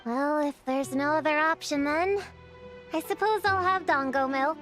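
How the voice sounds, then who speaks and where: A prim young know-it-all girl from a video game, played by a woman, with a high voice. She sounds dry, skeptical and a bit self-important over clearly audible music. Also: steady tone, moderate pace, natural voice. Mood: reserved. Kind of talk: video game character acting.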